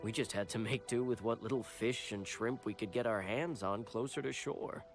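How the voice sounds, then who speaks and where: An actor voicing a humble, grateful fisherman villager in a video game, speaking in an earnest, halting way. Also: fairly low pitch, lightly acted. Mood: earnest, weary. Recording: game music behind the voice.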